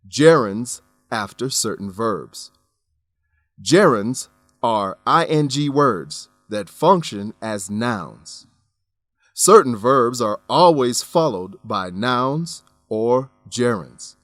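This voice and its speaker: A man reading scripted English-lesson narration as a teacher, deliberate, instructional and carefully enunciated. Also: mid-range voice, expressive, fairly bright tone, dry recording, soft background music.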